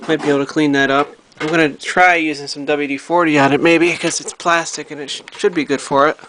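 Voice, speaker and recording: Male hobbyist vlogger, slightly high voice, unscripted and halting show-and-tell, lightly noisy homemade audio. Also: fairly even intonation, fairly bright tone. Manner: halting, thinking aloud while handling the object. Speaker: hobbyist vlogger showing a radio repair project. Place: indoors at home.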